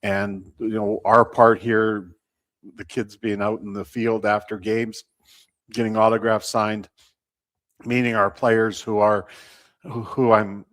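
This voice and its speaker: A man speaking unscripted as a guest in a sports interview, measured and halting, often pausing mid-sentence. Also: fairly low pitch, little pitch movement, a bit bright, dry recording. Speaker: baseball team representative, interview guest.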